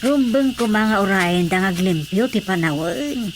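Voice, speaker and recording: A woman's voice: medium pitch, expressive, unhurried, noisy background, close to an everyday voice. A woman with an Ilocano accent playing a practical, reassuring older village mother-in-law in a Filipino radio drama. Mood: fussing, practical.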